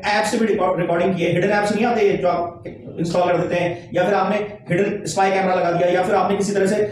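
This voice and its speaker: A man's voice: high-pitched, a little mellow. A man giving an explanatory legal lesson, emphatic and repeating his key points. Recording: very echoey, distant mic, faint noise.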